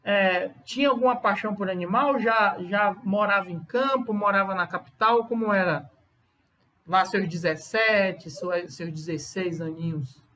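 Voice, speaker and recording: A man's voice: high voice, measured pace, bright, forceful tone. A man from Bahia with a Bahian accent, hosting a podcast and asking questions in a chatty, easygoing way. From Bahia, Brazil. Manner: chatty, asks questions, thinks aloud. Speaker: podcast host. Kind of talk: casual interview.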